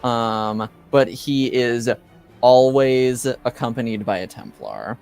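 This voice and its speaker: A man narrating as game master, improvising haltingly and searching for words. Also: medium pitch, animated, creaky, a little mellow, clear, resonant tone, soft background music.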